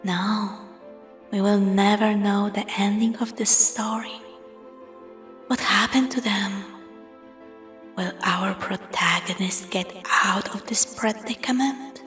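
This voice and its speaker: A woman narrating a spooky story as a storyteller, in a breathy half-whisper with measured theatrical delivery. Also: medium pitch, fairly even intonation, fairly bright tone, long reverb tail, soft background music.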